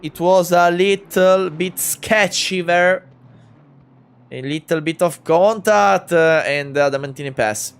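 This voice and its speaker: Slow-talking young Italian commentator. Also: male voice, high voice, clear, resonant tone. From Italy. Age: 20s. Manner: hesitant, many fillers and restarts; gets louder and more excited in close battles. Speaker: race commentator. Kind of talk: sim racing commentary.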